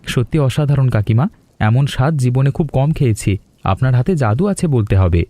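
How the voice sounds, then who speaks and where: A man's voice: mid-range voice, lively intonation, moderate pace, dark tone, lightly acted. A man playing a polite, grateful young male traveller from the city in a cartoon dub.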